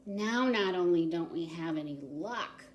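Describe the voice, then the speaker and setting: A woman's voice: on the low side, animated, measured pace, a little hiss or hum. Woman reading as earnest, emphatic storybook townsfolk. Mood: dejected. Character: luckless simple townspeople, earnest storybook crowd.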